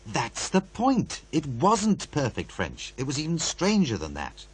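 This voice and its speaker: A man's voice: a little high, wildly swooping pitch, unhurried, slight background noise. An actor playing a wary, world-weary male producer in a radio play, blunt, sardonic and conversational. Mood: insistent. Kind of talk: naturalistic radio play dialogue.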